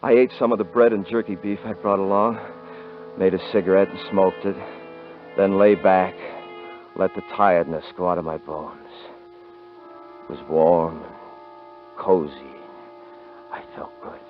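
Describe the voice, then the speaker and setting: A brash, boastful frontier hunter, talking in rough country grammar. His voice is deep and low. It comes through muffled old radio sound with music underneath. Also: fairly even intonation, unhurried, pauses often. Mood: grim narration. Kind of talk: 1950s radio drama acting and narration. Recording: muffled vintage radio recording.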